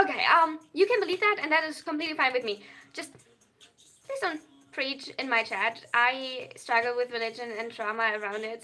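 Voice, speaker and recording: A young adult, reading viewer chat aloud on a livestream and answering at length. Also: female voice, on the high side, sharp tone. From Germany. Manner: reads viewer chat aloud and answers, tends to over-explain. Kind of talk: casual live-stream chat.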